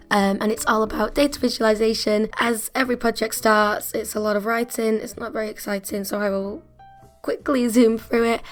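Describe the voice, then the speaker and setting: A woman in her twenties with a croaky voice, chatting casually as an art student vlogger, often laughing at herself. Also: medium pitch. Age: 20s. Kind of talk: casual vlog narration.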